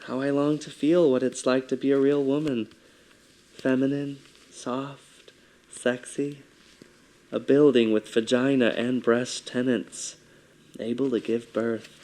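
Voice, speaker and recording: A man with a soft, feminine-sounding voice performing a theatrical confessional monologue, mostly quiet and measured. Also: medium pitch, level tone, rough recording.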